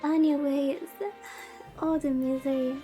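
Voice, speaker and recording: A woman with a high-pitched voice streams a visual novel and chats casually to viewers. She takes her time, speaking slowly with a playfully dramatic, lamenting manner. Soft music plays behind her. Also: little pitch movement. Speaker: VTuber streamer, host. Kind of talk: casual livestream chat while playing a visual novel. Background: background music.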